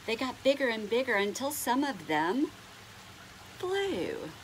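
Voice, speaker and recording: A middle-aged woman reads a picture book to little ones at an unhurried pace. Her voice swoops up and down as she stretches words and puts questions to the children. There is clear noise behind her in a home recording. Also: on the high side, very animated, poor audio. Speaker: storyteller reading to children. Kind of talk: expressive picture-book read-aloud with asides to young listeners. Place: indoors at home on a couch.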